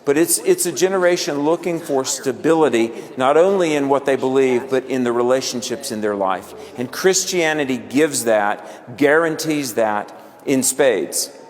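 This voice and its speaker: Deliberate man in a reverberant hall. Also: medium pitch. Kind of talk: interview answer.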